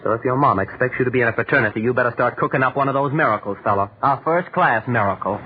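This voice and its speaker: A man's voice: medium pitch, rapid-fire, close to an everyday voice. An actor playing a scornful, mocking young fraternity man with slangy talk, in 1940s radio drama style. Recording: tinny, noisy 1940s radio recording.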